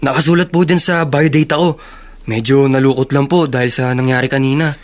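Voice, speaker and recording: A man's voice: medium pitch, steady tone, brisk, lightly acted. An actor playing a humble, eager young working-class man, hesitant and deferential, in a Tagalog radio drama. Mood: eager, nervous.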